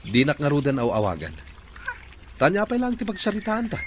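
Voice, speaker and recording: A man's voice: on the high side, huge pitch swings, a little fast, a little hiss or hum. An actor playing an anguished, quarrelsome young father in a radio drama. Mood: bitter, angry.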